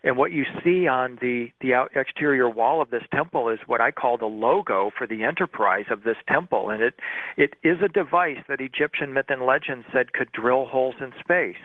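A man's voice: medium pitch, moderate pace, no echo. A man explaining his research earnestly and with assurance as a radio interview guest, heard over the telephone. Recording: tinny narrowband phone line.

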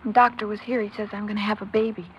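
A woman's voice: medium pitch, flat delivery, racing, slight background noise, lightly acted, low-quality recording. An actress playing an anxious, devoted young woman in an old crime radio drama, with an American accent and an earnest, pleading, emotional delivery. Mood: upset, fearful. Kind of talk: mid-century American crime radio drama acting, confessional narration and dialogue.

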